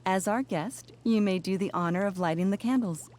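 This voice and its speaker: Gracious mother, mid-pitched played by a woman. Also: normal speed, lightly acted. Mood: courteous, pleasant. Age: middle-aged. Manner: courteous, proper, gentle. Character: gracious, polite middle-aged mother hosting dinner. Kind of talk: naturalistic video game acting.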